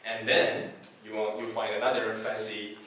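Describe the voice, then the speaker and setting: A man whose first language is Chinese gives a technical talk to a meetup audience, speaking haltingly with many fillers and restarts. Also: fairly low pitch, monotone, sharp tone. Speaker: conference speaker. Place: meetup presentation room. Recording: echoey hall from far off, faint hiss.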